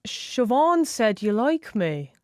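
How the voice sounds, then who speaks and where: A woman plays a shy, awkward schoolgirl in a comic audio drama. Her delivery is slow, hesitant and understated, with no room sound. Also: on the high side, big pitch swings, dry recording. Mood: shy, tentative.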